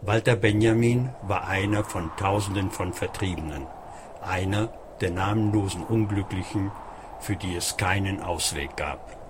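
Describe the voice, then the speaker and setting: A man's voice: low-pitched, unhurried. A man reading scripted narration for a radio documentary. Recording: clear ambient noise behind.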